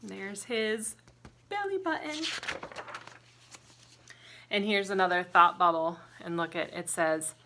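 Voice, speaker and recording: A woman teacher reading a children's picture book aloud in an expressive, sing-song way, pausing to ask young listeners simple questions. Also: mid-range voice, slight background noise. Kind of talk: interactive children's storybook read-aloud with counting and singing.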